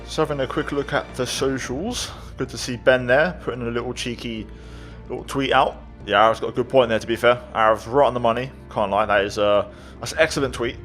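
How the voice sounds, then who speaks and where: A man's voice: mid-range voice, fairly quick, a bit bright. A man giving casual gameplay commentary as the host of a gaming video. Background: faint game music.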